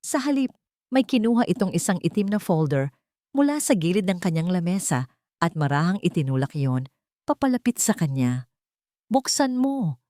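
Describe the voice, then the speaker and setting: A woman's voice: on the low side, expressive, moderate pace, mellow, dry recording, clean studio sound. A woman reading a scripted romance story as a dramatic narrator.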